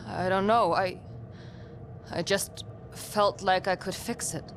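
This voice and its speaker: A woman's voice: medium pitch, fairly even intonation, slow, faint music behind. An actress playing a guarded, determined young woman adventurer in a fantasy video game, terse and matter-of-fact. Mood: uncertain. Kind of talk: dramatic video game acting.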